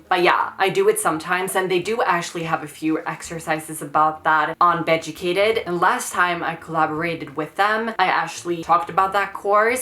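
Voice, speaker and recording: A woman in her thirties with a Swedish accent answers viewer questions in a relaxed vlog. Her voice sits low but has a bright, forward edge. She talks hesitantly, restarting and trailing off, with plenty of fillers. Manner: hesitant, trailing off, many likes and ums. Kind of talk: casual Q&A vlog.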